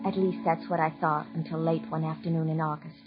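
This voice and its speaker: A woman's voice: deep voice, little pitch movement, brisk, close to an everyday voice. An American actress playing a devoted young wife and doting mother in a 1940s radio drama. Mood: wistful narration. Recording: muffled 1940s radio recording with music.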